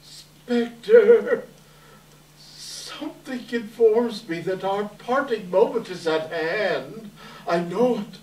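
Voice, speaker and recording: In a roomy, echoing hall, picked up from a distance, a frightened old miser played by a man pleads with a spirit. He speaks slowly and deliberately, imploring as in a staged reading. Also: high voice, a little mellow. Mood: somber, uneasy. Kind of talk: readers theater performance. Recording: echoey hall, far from mic.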